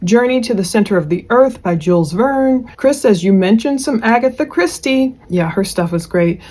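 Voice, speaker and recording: A woman hosts a true crime show in a conversational way. She reads quotes out with animated delivery and drops in sardonic asides as she comments. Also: on the high side, moderate pace.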